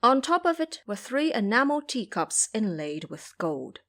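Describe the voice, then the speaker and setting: Expressive woman narrating an audio drama. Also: on the high side, unhurried.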